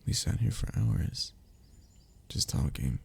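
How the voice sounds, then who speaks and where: Man acting a deep, gravelly sentimental young friend. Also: expressive, slow, exaggerated voice. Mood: reflective storytelling. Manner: intimate, conversational, with sighs and chuckles. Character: sentimental, teasing young man reminiscing with childhood best friend. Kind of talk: ASMR roleplay audio drama.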